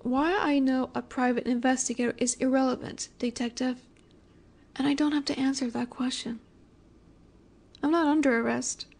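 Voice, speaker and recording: A woman performs a female mafia boss with a distinctly high voice. She is coy and self-assured, speaking deliberately to the listener in an audio roleplay. Menace sits under her flirtatious, teasing manner. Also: fairly even intonation, creaky, a little mellow. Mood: composed, sly.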